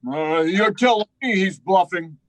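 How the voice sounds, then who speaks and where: A man playing a loud, hot-tempered young criminal, blustering and defiant, in 1940s radio style. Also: sits high, a little slow, dry recording, rough recording. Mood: angry defiance. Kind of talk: 1940s radio drama acting.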